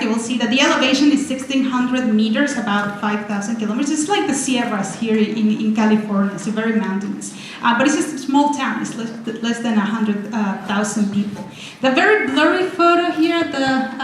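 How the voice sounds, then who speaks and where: Lady in her 40s giving a talk, kinda high voice, super excited, lots of restarts, really echoey room. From Venezuela. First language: Spanish. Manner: excited, chatty, many restarts. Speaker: guest speaker. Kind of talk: energetic public talk with slides. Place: college lecture room. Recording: echoey hall, faint noise.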